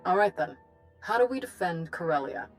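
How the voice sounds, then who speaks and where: An actress playing a wry, direct, folksy head of state in a video game. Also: female voice, on the low side, lively intonation, normal speed, soft background music, close to an everyday voice. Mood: resolute. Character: plain-spoken, wry female head of state, ex-pilot.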